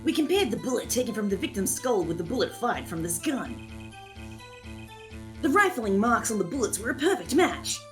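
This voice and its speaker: Woman as condescending male prosecutor, game fan dub. Cool, measured mockery, expressive swings, faint music behind. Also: fairly high pitch. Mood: smug, composed. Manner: measured, mocking, theatrical.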